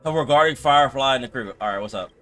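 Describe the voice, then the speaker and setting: A man's voice: medium pitch, fast, fairly bright tone. A man streaming a story game, giving short, informal, casual reactions as he plays, with game music behind him. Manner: informal, brief reactions, swearing.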